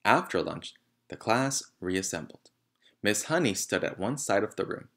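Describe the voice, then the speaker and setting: A man with a lowish voice narrates a children's book. He reads aloud expressively, as a storyteller would. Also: expressive, moderate pace, fairly bright tone. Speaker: audiobook-style story reader.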